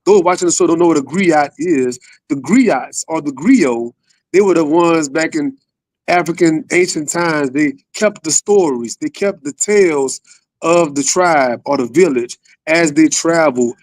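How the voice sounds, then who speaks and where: Guy hosting a podcast, slightly high voice, very dry sound, slangy and casual, talks to you like family. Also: measured pace, no echo, low-quality recording. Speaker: podcast host. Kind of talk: casual interview with upbeat hype intro.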